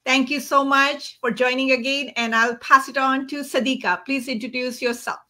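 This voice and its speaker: Bright, high-pitched Indian-accented woman host. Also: steady tone, normal speed. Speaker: host of an online business show. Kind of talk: casual livestream introduction.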